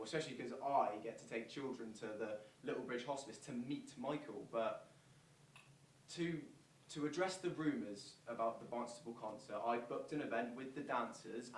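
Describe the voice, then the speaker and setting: A man's voice: medium pitch, normal speed, lightly acted. A man playing a modest, starstruck friend of a celebrity in a stage monologue. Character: starstruck, modest man befriended by a pop star. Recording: distant, echoey, noisy stage recording.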